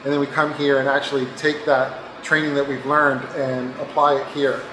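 A man's voice: medium pitch, level tone, normal speed, a crowd in the background. A middle-aged man, a microbiologist, giving a casual interview, talking loosely with lots of filler phrases. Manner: loose, informal, frequent "like" and "you know". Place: laboratory indoors. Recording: echoey room.

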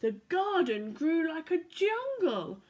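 A young woman reads children's picture books at a slow, unhurried pace, her voice swooping up and down with lively expression. She speaks from a living room into a laptop camera at arm's length, playful and clear for small listeners. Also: very high-pitched. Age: young adult. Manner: animated, playful read-aloud with sound effects. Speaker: church children's storyteller. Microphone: laptop or phone camera at arm's length.

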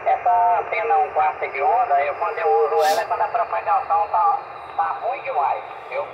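Gravelly Brazilian man chatting on radio. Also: high voice, fairly quick. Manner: repeats himself, frequent tag questions. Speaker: amateur radio operator. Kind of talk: casual two-way radio chat. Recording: muffled, noisy radio setup, room echo.

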